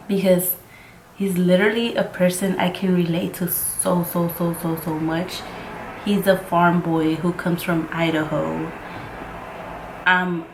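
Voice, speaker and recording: A woman of twenty-five, a native Mixtec speaker and court interpreter, chatting casually and rambling through a makeup tutorial with lots of "like.". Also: on the low side. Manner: chatty and rambling, full of "like" and "freaking". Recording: roomy with faint ambient noise.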